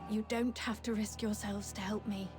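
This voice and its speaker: An actress playing an earnest, resolute young legion officer in a video game, speaking in a solemn, heartfelt way. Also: female voice, medium pitch, level tone, normal speed, music in the background, lightly acted. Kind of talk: dramatic video game voice acting.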